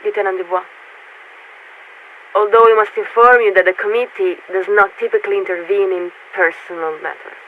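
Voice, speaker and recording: An actress playing a formal, detached warship officer who speaks for a committee in clipped, measured phrases through a radio filter in a video game. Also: female voice, medium pitch, steady tone. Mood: solemn, official. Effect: radio transmission filter. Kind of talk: scripted video game voice acting. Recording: tinny, narrow-band radio with static.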